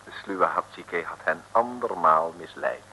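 A man narrates an old radio serial in a fairly low voice. His delivery is lively and melodic, chatty and tongue-in-cheek. The vintage recording has clear background noise. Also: unhurried, poor audio. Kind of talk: wry 1950s-60s radio storytelling.

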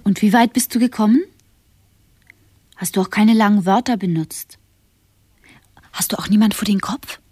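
An anxious, probing woman companion in a radio drama, played by an actress. Her voice is husky and breathy at a middle pitch, recorded close and dry, and she coaxes with question after question. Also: moderate pace, long pauses, a little mellow, no room sound, faint music behind, lightly acted. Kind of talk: radio play acting.

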